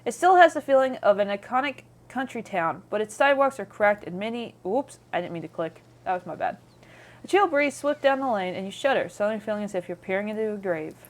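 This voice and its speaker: A woman's voice: medium pitch, lively intonation, a little fast. A woman streamer reading game narration aloud with playful, chatty casual commentary.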